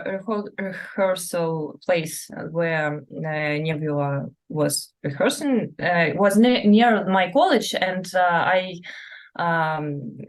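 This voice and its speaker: Slow, word-searching woman with Russian roots. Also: on the low side. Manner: hesitant, searching for words in English. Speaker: cellist. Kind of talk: interview answers.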